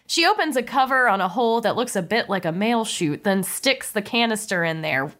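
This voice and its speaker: A woman podcast host narrating a comedy script in a lively way, with playful asides. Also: mid-range voice, fairly quick.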